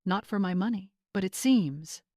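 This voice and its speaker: A woman's voice: medium pitch, moderate pace, mellow, no room sound, clean studio sound, lightly acted. Woman acting a steely, composed older mother. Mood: solemn, steely. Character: steely wealthy older mother, controlled and unflinching.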